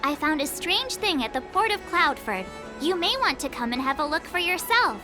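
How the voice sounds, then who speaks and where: Sweet game girl, very high voice, lively swings in pitch, cheerful, playful, chatty. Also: normal speed, over music. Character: sweet, chatty, cheerful young girl who loves cute animals. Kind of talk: video game character voice acting.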